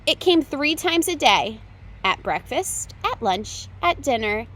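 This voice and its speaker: A woman reading a children's picture book aloud as a storytime reader, with expressive, deliberate phrasing for young listeners. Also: sits high.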